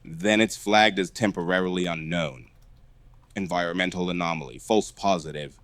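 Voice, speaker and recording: A man's voice: low voice, normal speed, fairly bright tone, dry recording. A man playing a methodical, matter-of-fact computer technician in a found-footage horror film, speaking precisely and wryly. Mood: dry, explanatory. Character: dry, methodical male computer technician at a dinosaur park.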